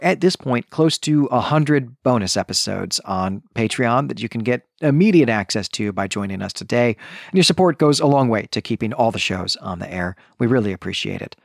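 A man's voice: medium pitch, vocal fry, dry recording, studio quality. A man hosting a solo podcast, giving a conversational book review in an earnest, analytical way with emphatic phrasing. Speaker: podcast host.

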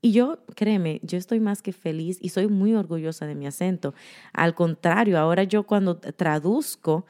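Woman in her thirties, candid and chatty, low voice, slips into English, casual conversation. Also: normal speed, a little mellow. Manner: candid, laughs often, mixes in English words.

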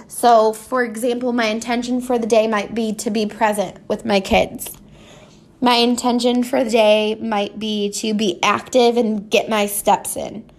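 A woman chatting casually and unscripted as a solo host, rambling informally, with a stuffy, slightly scratchy voice congested from allergies. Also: on the high side. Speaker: podcast host. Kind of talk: casual solo chat about personal habits.

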